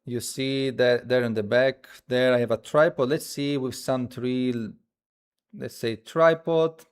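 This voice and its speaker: A man who speaks as a hosts a casual live coding stream, thinking aloud haltingly and repeating his phrases as he demonstrates. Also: medium pitch, fairly even intonation, clear, resonant tone. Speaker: livestream host.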